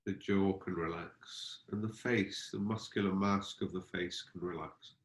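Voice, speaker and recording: Low-voiced man guiding meditation, roomy sound. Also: steady tone. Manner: unhurried, gentle, exploratory phrasing with pauses. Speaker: meditation teacher. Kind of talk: guided meditation instruction. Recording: roomy, echoing space.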